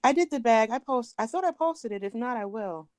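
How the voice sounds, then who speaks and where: A woman's voice: a little high, animated, a little fast, a little mellow, no room sound, studio quality. A woman from New Jersey, a sewing student chatting casually on a group call. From New Jersey, United States. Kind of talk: casual chat.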